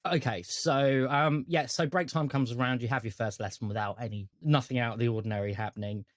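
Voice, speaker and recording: A man's voice: medium pitch, steady tone, brisk, no echo, clean studio sound. A man with a British accent narrating a tabletop role-playing game as game master.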